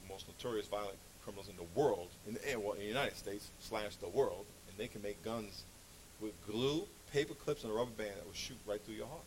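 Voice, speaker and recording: A male preacher giving a conversational, anecdotal sermon, speaking in an animated, emphatic way and repeating phrases to make his point. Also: on the low side, brisk, a little hiss or hum, rough recording.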